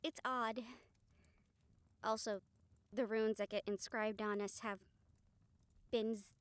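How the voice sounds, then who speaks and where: A woman playing a weary, apologetic female vampire hunter, speaking softly and hesitantly to a close friend in an audio roleplay. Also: fairly high pitch, steady tone, slow pace, smooth, no echo. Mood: weary, musing. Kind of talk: intimate audio roleplay to the listener.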